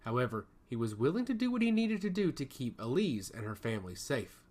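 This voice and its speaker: A man's voice: medium pitch, sing-song, fairly quick, a little mellow. A man reading a scripted fantasy story aloud as its narrator. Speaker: audiobook narrator.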